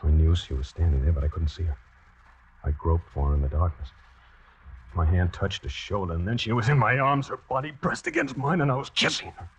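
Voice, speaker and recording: An American actor playing a lovesick, nervous, reluctant killer in a 1940s-style radio suspense drama, narrating in the first person and halting under pressure. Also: male voice, sits low, animated, slightly rough, heavily acted. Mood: tense narration. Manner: halting, hesitant, stammering when pressed. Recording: muffled, noisy old radio recording.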